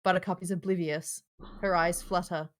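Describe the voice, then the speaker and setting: A woman's voice: on the low side, level tone, moderate pace. A woman reading stage directions aloud at a live table read, in an informal narrating style. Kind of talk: live script narration with casual asides.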